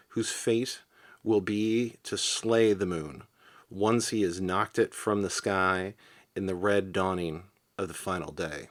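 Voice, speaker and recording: A man reads a poem aloud in a fairly low voice. His pace is very slow and deliberate. He sets out each phrase with measured pauses. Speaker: poetry reader. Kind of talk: literary poem reading.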